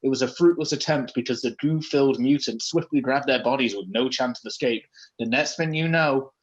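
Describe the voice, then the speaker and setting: A man's voice: mid-range voice, brisk, lo-fi sound. A man reading fan fiction aloud as comedy, breaking off for joking asides. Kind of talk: comedic read-aloud of a story.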